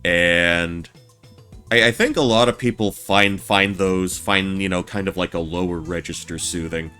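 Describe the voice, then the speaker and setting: A man streaming a game, chatting casually in a naturally low voice, often stammering and restarting his sentences. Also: steady tone, unhurried, sharp tone. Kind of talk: casual let's-play commentary. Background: game music.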